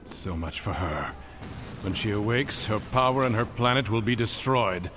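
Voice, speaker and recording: A man's voice: on the low side, moderate pace, heavy background noise, lightly acted. An actor playing an arrogant, menacing fallen Jedi swordsman in an audio drama, theatrical and boastful. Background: loud dramatic music.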